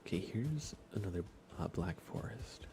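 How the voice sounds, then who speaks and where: A man streaming ASMR in a soft, breathy whisper, chatting casually and gently while he plays a game. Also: fairly low pitch, lively intonation, moderate pace, background noise. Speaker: livestream host. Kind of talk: ASMR livestream, casual chat while gaming.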